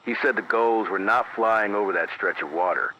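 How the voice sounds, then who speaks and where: An American man playing a careful, sober emergency radio news anchor, reading a scripted bulletin in a measured, deliberate way through an AM radio filter. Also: fairly low pitch, lo-fi sound. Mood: grave, controlled. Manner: measured, deliberate, cautious, repeating key words for weight. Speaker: radio broadcaster. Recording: band-limited AM radio sound with hiss.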